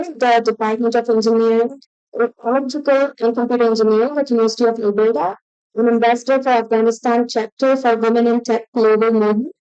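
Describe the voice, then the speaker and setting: A woman's voice: on the high side, smooth, highs cut off. Woman emcee announcing a guest. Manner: loud, announcing tone. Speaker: event emcee. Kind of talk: formal speaker introduction. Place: event stage.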